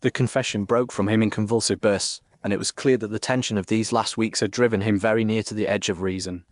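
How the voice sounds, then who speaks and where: A man serves as narrator of a period mystery audio story. His voice is on the low side and recorded dry. The delivery is formal and measured, suited to literary prose. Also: steady tone, dry recording.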